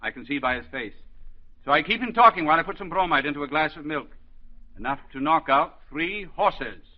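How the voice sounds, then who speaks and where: A man's voice: on the high side, lively intonation, fairly quick. An actor playing a shrewd, scolding elderly psychiatry professor in a 1940s radio drama, with imperious, fatherly delivery. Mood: grave, explaining. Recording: dull, lo-fi 1940s radio broadcast.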